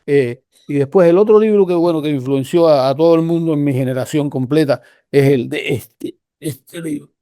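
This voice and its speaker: An older man from Cuba with a Cuban Spanish accent, telling stories in a relaxed, rambling way with many restarts. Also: fairly high pitch, normal speed, dry recording, clean studio sound. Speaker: guest researcher. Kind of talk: casual conversational storytelling.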